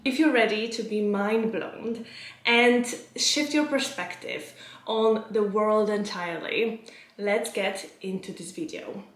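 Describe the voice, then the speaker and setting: A woman's voice: medium pitch, slow pace. A young adult woman from Poland with a Polish accent, talking casually to her viewers as a vlogger and coach. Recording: roomy, echoing indoor sound.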